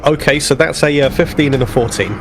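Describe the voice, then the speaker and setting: A man's voice: fairly high pitch, fast, music in the background. A man playing a tabletop roleplaying game, casually describing what his character does.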